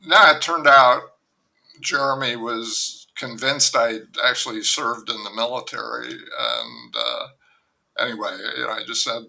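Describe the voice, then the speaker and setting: An older man, a novelist, talking through his book in a relaxed, thoughtful interview, pausing often and restarting sentences. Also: mid-range voice, creaky, low-quality recording. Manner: unhurried, thoughtful, with frequent fillers and restarts. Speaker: novelist guest. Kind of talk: reflective conversational interview.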